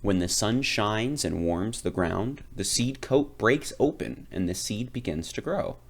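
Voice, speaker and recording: Animated low-voiced man reading aloud. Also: unhurried. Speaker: read-aloud reader. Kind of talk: children's picture book read-aloud.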